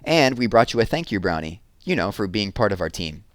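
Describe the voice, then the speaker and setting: A man's voice: fairly low pitch, big pitch swings, fairly quick, no room sound, studio quality. A man voicing a friendly, enthusiastic young girl who is easily impressed, in a children's storybook.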